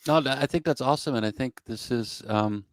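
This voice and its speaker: A man's voice: medium pitch, fairly quick, dry recording. A middle-aged man hosting a panel talk on a video call with a desk mic. He speaks earnestly and reflectively. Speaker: host. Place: home room indoors.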